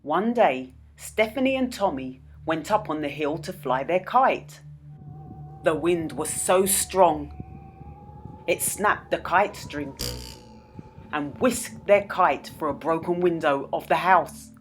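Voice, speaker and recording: A woman narrating a children's story as a storyteller, with dramatic, expressive delivery. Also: low-pitched, takes it slowly, soft background music. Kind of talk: children's read-aloud narration.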